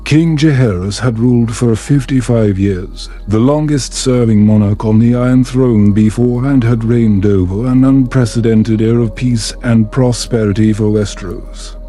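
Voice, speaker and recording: A man narrating a bedtime story in a calm, soothing, measured manner. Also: mid-range voice, soft background music.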